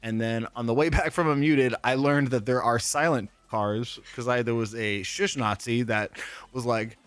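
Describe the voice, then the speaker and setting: A man telling lively anecdotes in a casual interview chat, laughing often and acting out the people in his stories. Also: medium pitch, normal speed, smooth, no room sound. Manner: animated storyteller, laughs often, acts out people he quotes.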